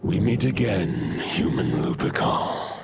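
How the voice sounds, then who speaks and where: A man voicing an ancient, solemn wolf god in a video game, gravelly and stately in formal, archaic phrasing. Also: on the low side, takes it slowly, very noisy. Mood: grave, resolute.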